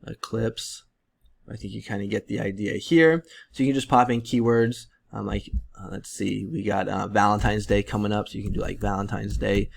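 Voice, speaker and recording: A man in his thirties talks through a tutorial in a loose, rambling way, full of ums and likes. His voice sits low with a gravelly fry, and it is strained and fading as he loses it. He talks into a webcam in a room at home. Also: moderate pace. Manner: relaxed, rambling, many fillers. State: losing his voice, clearing his throat. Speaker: print-on-demand seller and tutorial creator. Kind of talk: casual screen-share tutorial.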